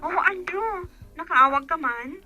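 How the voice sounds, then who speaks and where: A woman's voice: very high voice, animated, measured pace, music in the background. A woman with an Ilocano accent acting as an evasive girlfriend on the phone in a radio drama, with a telephone effect. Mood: hesitant, evasive.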